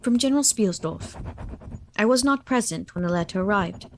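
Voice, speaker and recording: A young actress playing a reserved, melancholy gentlewoman in a radio play, speaking in a measured, formal and guarded way. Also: medium pitch, animated, a little hiss or hum, lightly acted. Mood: subdued, reflective. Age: young adult.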